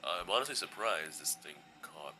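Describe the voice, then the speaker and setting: A man in a fan audio drama playing a gentle, weary, laid-back male skeleton, speaking in a hesitant, casual, understated way. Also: low-pitched, animated, normal speed, faint music behind, exaggerated voice. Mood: warm, awkward.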